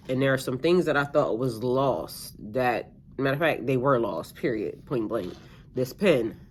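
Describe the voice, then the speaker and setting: A woman's voice: extremely low pitch, a little hiss or hum. A middle-aged woman, a tarot reader, talking casually to her viewers in a meandering way with lots of fillers and restarts, her voice a little stuffy-nosed. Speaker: tarot reader and spiritual coach. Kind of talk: casual rambling monologue to camera.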